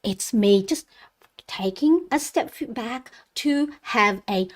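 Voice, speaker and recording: A woman's voice: fairly high pitch, animated, takes it slowly. A middle-aged woman from the Philippines, Tagalog her first language, hosting a casual planner live stream in a chatty, rambling way with frequent fillers and light laughs.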